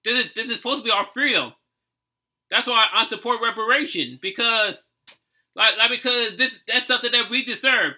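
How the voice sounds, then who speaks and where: A man's voice: extremely high pitch, moderate pace, sharp tone. A man in his thirties from the United States giving outspoken, repetitive, sweary commentary in a casual reaction video. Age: 30s.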